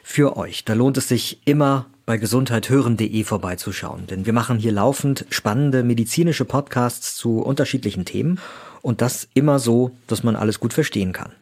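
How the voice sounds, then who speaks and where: A man's voice: medium pitch, normal speed, a little mellow, slightly rough. A man presenting a scripted promotion in a friendly, direct style.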